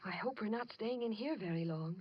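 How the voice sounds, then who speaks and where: An actress playing an anxious, fretful, easily frightened wife in a 1940s radio drama. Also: female voice, medium pitch, animated, fairly quick, lightly acted. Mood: uneasy. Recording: muffled, noisy old radio recording.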